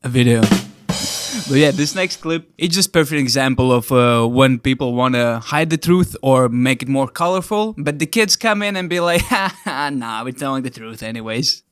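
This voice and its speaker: A man's voice: medium pitch, expressive, normal speed, fairly bright tone, clean tone, no echo, faint music behind. Laid-back man in casual podcast banter. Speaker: podcast co-host. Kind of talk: casual reaction chat.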